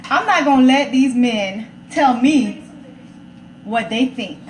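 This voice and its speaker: A woman's voice: on the high side, slow pace. An American woman giving her opinions in casual chat, assertively, restating points for emphasis. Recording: roomy echo, faint background noise.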